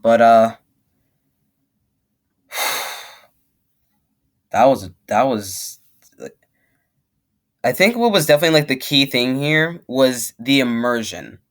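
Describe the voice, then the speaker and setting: A man's voice: mid-range voice, very animated, slow pace, long pauses. A young man hosting a reaction video with casual, rambling commentary, emphatic and repeating himself to make a point. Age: young adult.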